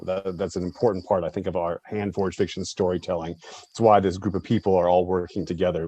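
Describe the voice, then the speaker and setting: Low, mellow man speaking earnestly. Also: no echo. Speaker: writer-director being interviewed. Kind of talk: reflective interview talk.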